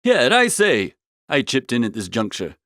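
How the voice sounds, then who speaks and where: A man's voice: mid-range voice, huge pitch swings, normal speed, fairly bright tone, dry recording, clean studio sound. A man reading a first-person comic story as an audiobook, with a breezy, theatrical manner. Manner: breezy, theatrical, old-fashioned upper-class idiom. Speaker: audiobook reader.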